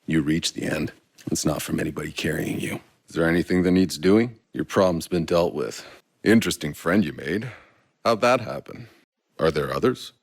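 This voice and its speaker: An actor playing a world-weary, sardonic soldier in a video game, gravelly, dry and blunt. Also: male voice, very deep voice, normal speed, no echo, exaggerated voice. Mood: subdued, earnest. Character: gruff, world-weary male Outrider soldier, sardonic and foul-mouthed.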